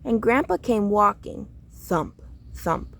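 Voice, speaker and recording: A woman narrates a children's picture book at a slow, unhurried pace. Her delivery is expressive and deliberate, with a noticeable creak in the voice. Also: mid-range voice. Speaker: storybook reader. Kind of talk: read-aloud children's story narration.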